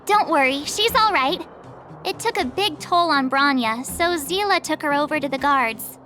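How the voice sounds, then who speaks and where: A woman's voice: high voice, lively intonation, normal speed, bright, forceful tone. A woman voicing a perky, impulsive, talkative young adventurer girl in an anime-style game, with a bubbly, informal delivery. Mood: bubbly, casual. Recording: game music behind the voice.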